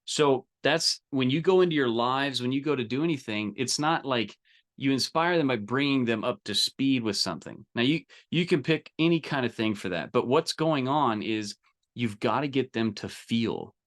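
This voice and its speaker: A man's voice: medium pitch, normal speed. A man giving an informal, emphatic live coaching talk, direct and colloquial, often checking in with listeners. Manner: colloquial, salesy. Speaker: business coach leading a group training call.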